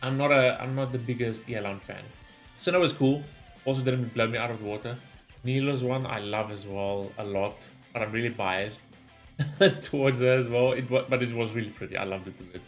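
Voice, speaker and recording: A man's voice: medium pitch, a little fast, soft background music. A man giving casual, opinionated gaming reaction commentary, talking in a rambling, enthusiastic way as a video host.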